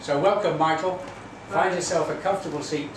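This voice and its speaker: A man's voice: fairly high pitch, steady tone, brisk, a crowd in the background. A man with an English accent hosting a live poetry event, speaking in a wry, formal, theatrical way. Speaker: host and poetry candidate. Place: small theatre venue. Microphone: far from the mic. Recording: echoey hall from far off, audience noise.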